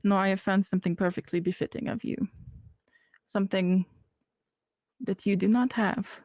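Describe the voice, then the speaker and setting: A woman voicing an ancient, courteous being from a realm of shadow, with a put-on Russian accent and formal, measured speech. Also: medium pitch, steady tone, a little mellow, dry recording, natural voice. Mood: courtly.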